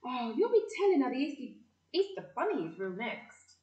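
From an echoey room comes a woman acting a bossy London family matriarch in an audio play. Her voice is highish and swoops as she scolds and nags, good-hearted underneath. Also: big pitch swings, normal speed, dark tone, far from the mic, dull audio. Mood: nagging. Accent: London English. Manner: exasperated, scolding. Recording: echoey room, away from mic.

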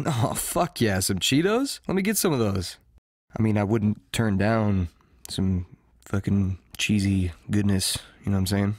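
A man's voice: fairly low pitch, unhurried, a little mellow, dry recording, studio quality. A man playing a laid-back, crude slacker in an audio drama. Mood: lazy, amused.

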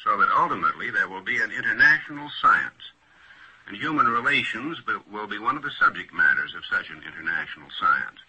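An American man speaking as an academic panelist in a 1940s radio discussion, measured, formal and articulate. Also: fairly low pitch, sing-song. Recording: noisy old radio recording.

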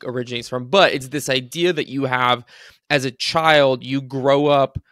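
A man's voice: medium pitch, dry recording. Young man from Bulgaria, Bulgarian native, podcast guest on a video call, chatty with lots of fillers, dry close desk mic. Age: young adult. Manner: quick, animated, frequent filler words. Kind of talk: casual interview talk. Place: home room, video call. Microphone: studio mic on desk.

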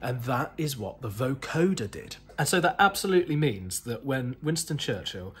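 A young man talks to camera as a museum presenter. His voice is fairly high and husky. He explains things informally, with chatty, wry animation. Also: big pitch swings, normal speed, a bit bright. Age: young adult. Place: indoor museum rooms full of synthesizers.

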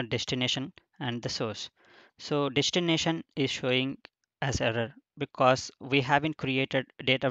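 A man giving a screen-recorded software tutorial, explaining each step with frequent fillers and restarts. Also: mid-range voice, no room sound, muffled recording. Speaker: instructor.

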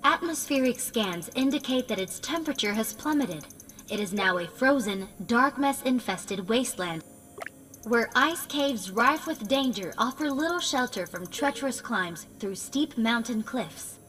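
A woman's voice: a little high, lively intonation, measured pace, fairly bright tone, a little hiss or hum. A woman voicing an analytical, matter-of-fact artificial intelligence companion in a video game, precise, dry and formal. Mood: dry, warning. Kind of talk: scripted video game exposition.

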